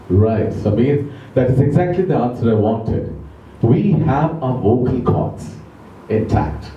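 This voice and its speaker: Man talking slowly to a club crowd, dark mellow voice, roomy echo from far off. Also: medium pitch, dull audio, a little hiss or hum. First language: not English. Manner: slow, deliberate, asks the audience questions. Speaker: club speaker giving an educational talk. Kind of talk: public speaking talk with audience questions. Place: club meeting room. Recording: echoey room recorded from afar.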